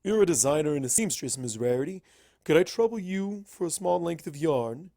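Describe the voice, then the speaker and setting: A man's voice: a little high, lively intonation, a little fast, gravelly, dark tone, no echo, studio quality. A man voicing a courteous, scholarly male pony wizard from the past, formal and explanatory, in a story reading. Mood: keen, explaining.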